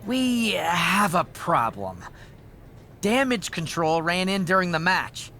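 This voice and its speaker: Bright-voiced, cautious show staffer. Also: female voice, on the low side, very animated, takes it slowly, slight background noise, heavily acted. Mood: cautious, concerned. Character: cautious, deferential wrestling show staffer advising the boss. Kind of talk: scripted video game cutscene acting.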